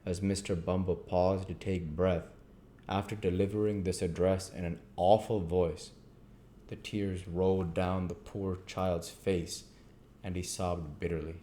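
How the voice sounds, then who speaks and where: A man with a low-pitched voice narrates a classic novel. He reads scripted prose aloud as a storybook narrator. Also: little pitch movement, measured pace, mellow, a little hiss or hum. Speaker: audiobook reader.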